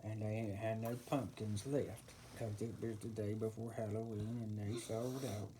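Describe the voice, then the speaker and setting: A man's voice: on the low side, steady tone, normal speed, warm tone, natural voice. A man voicing a rambling, easily flustered old folksy storyteller who halts and repeats himself in a comic tall tale. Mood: rambling storytelling. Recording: noisy home recording with clicks.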